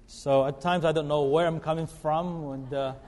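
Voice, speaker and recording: A middle-aged man from the Philippines, preaching a sermon in an earnest, halting way full of fillers and restarts. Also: fairly high pitch, steady tone, a little mellow, slight background noise. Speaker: guest preacher. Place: church sanctuary. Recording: long reverb of a church hall.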